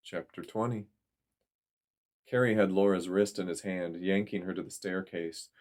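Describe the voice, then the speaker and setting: A man's voice: low-pitched, fairly even intonation, normal speed, a little mellow. A man reading a story aloud as a dramatic audiobook narrator.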